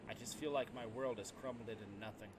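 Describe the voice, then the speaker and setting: A man's voice: mid-range voice, steady tone, fast. Man reading game story aloud, noisy recording. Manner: dramatic reading with stumbles over words. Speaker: video game streamer. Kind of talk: let's play read-aloud of visual novel text.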